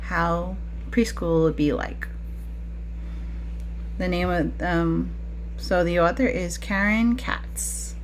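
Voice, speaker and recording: Woman, preschool teacher, slightly low pitch, interactive read-aloud for young children, question-filled teacherly delivery, faint background noise. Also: steady tone, slow, pauses often.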